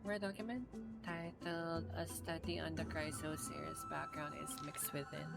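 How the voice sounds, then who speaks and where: A woman game streamer reading game text and dialogue aloud in a measured, deliberate way. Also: deep voice, level tone, gravelly, over loud music.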